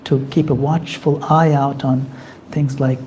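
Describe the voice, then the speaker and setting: A man leading a devotional class, answering questions from the group in an earnest, gently advising way. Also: mid-range voice, little pitch movement, unhurried, a little hiss or hum. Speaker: class leader taking questions. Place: community temple class.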